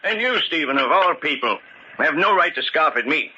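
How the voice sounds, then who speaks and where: A man's voice: a little high, animated, moderate pace, lightly acted. Stonecutter near sixty, played by a man, obstinate and emphatic, vintage radio melodrama, dull hissy sound. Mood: teasing. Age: older. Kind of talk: 1940s radio drama acting. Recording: dull, noisy old radio recording.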